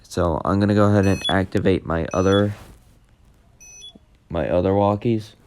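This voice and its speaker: A man giving a casual, unscripted tech demo in a halting, repetitive way that tends to trail off. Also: sits low, darkish tone, a little hiss or hum. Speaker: video host demonstrating a walkie-talkie app.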